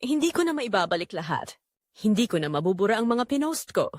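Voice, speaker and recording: A woman's voice: medium pitch, very animated, a little fast, darkish tone, no room sound, studio quality, natural voice. Woman acting a lonely, regretful Filipina, plaintive. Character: lonely, regretful Filipina migrant worker, abandoned by her lover.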